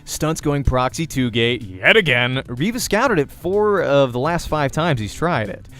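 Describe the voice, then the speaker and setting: A man's voice: medium pitch, very animated, measured pace, fairly bright tone, soft background music. A man reading a visual novel aloud as a let's-player, narrating the game story expressively.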